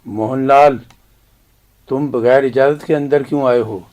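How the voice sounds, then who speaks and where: A man's voice: mid-range voice, fairly even intonation, measured pace, darkish tone, lightly acted. An actor playing a dignified, defiant Kashmiri father in a radio drama, speaking in a grave, emphatic way. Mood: indignant.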